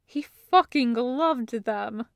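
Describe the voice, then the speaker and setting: A woman's voice: on the high side, extremely slow, dry recording, studio quality. A woman voicing a brash, affectionate, sweary sister in an audiobook, with a casual, teasing manner. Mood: fond, nostalgic. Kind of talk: acted dialogue in an audiobook reading.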